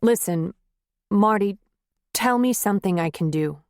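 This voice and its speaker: A woman voicing a clumsy, pleading middle-aged husband who moves between gruff and coaxing. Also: medium pitch, animated, a little slow, darkish tone, dry recording, clean studio sound. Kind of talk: audiobook character reading.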